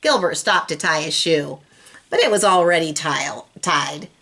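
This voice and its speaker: A woman's voice: sits low, normal speed, a bit bright. A woman reading a children's storybook aloud, expressively and speaking directly to young listeners. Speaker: storybook reader.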